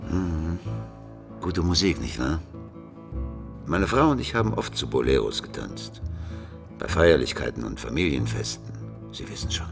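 A courteous widowed detective in a radio play, extremely deep and gravelly. He talks haltingly, wistful and sentimental, with loud guitar music playing behind him. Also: big pitch swings, exaggerated voice. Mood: awkward, nostalgic. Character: awkward, sentimental widowed male police detective, older, courteous. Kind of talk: German radio crime drama acting.

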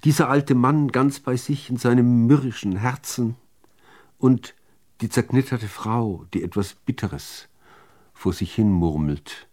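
A man reciting literary poetry in a measured, deliberate manner with dramatic pauses between phrases. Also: medium pitch, darkish tone. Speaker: reader of poetry.

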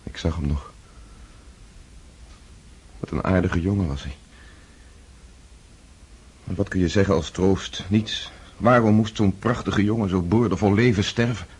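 An actor playing Pierre, an earnest young count in a radio play, who speaks in a searching, low-key way. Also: male voice, a little slow, long pauses, darkish tone, husky.

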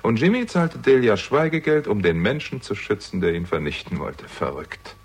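An actor playing a shrewd young amateur sleuth in a German radio crime drama, husky-voiced, with a pointed, probing and wry manner. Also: male voice, fairly low pitch, very animated, normal speed, heavily acted. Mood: accusing, animated. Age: young adult.

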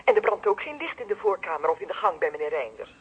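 Husky watchful neighbour on the telephone. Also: female voice, lowish voice, sing-song, fast talker, heavily acted. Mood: worried, insistent. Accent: Netherlandic Dutch. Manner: hesitant yet insistent, polite. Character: watchful, deferential female neighbour, anxious to report. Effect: telephone filter. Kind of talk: radio play acting. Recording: muffled, band-limited telephone line.

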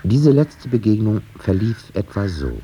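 An actor playing a reflective, sceptical storyteller, narrating a 1950s German radio drama in a measured, musing way. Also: male voice, fairly low pitch, very animated, noisy background. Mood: grave.

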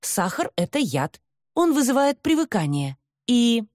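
A woman's voice: fairly high pitch, sing-song, moderate pace, a little mellow, no room sound, clean studio sound, pitched above the performer's own voice. A woman voicing a fussy, nagging mother of a grown daughter, insistent and fretful, in an audiobook. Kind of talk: audiobook character dialogue.

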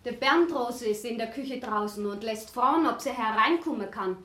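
An actress in a German radio drama playing a plain young housemaid announcing someone. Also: female voice, on the high side, animated, normal speed, a bit bright. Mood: matter-of-fact. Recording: roomy, echoing sound.

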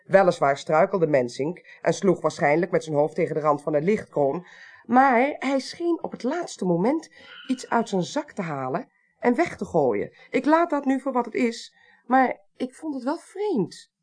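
Assertive, sharp-witted police investigator, lively intonation. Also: female voice, medium pitch, a little slow. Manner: assertive, precise, cuts others short. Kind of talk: Dutch radio drama acting.

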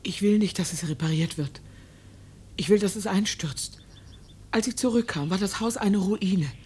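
An actress playing a stubborn, sorrowful, reclusive farm woman in a radio drama, with a husky, terse delivery. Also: mid-range voice, moderate pace, darkish tone, a little hiss or hum, close to an everyday voice. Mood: guarded, dismissive. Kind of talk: German radio drama acting.

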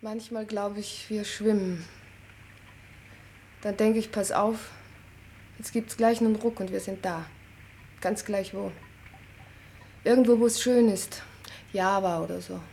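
A woman's voice: mid-range voice, fairly even intonation, unhurried, gravelly, pauses often, dark tone, slight background noise. An actress playing a brash, dreamy, impatient woman in a radio play, blunt and colloquial.

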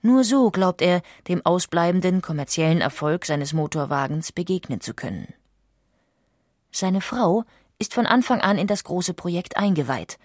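A woman narrates a documentary script with a fairly low voice. The sound is very dry, and she tells the story in a measured way. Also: no echo.

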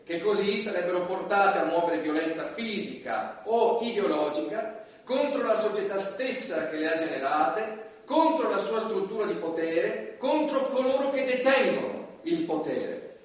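An actor playing a stern, sardonic judge who interrogates with measured authority, in a theatrical stage style. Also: male voice, high voice, natural voice. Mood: declamatory, sentencing. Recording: echoey distant stage recording, faint noise.

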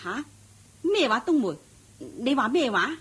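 An actress playing a fussy, superstitious middle-aged maid in a Cantonese radio drama, scolding and easily flustered. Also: female voice, fairly high pitch, very animated, a little slow, fairly bright tone, slightly rough, full character voice.

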